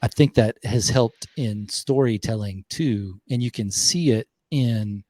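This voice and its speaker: A man with a Southern US accent hosting a podcast, talking reflectively and unhurriedly, with pauses. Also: on the low side, dark tone, no room sound. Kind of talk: casual conversation.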